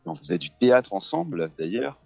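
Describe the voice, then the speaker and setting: A man's voice: mid-range voice, sing-song, brisk, gravelly, darkish tone, soft background music. A middle-aged man from France, a guest on a radio interview, talking casually with many fillers and restarts. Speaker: guest, actor and theatre teacher. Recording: dull lo-fi radio recording.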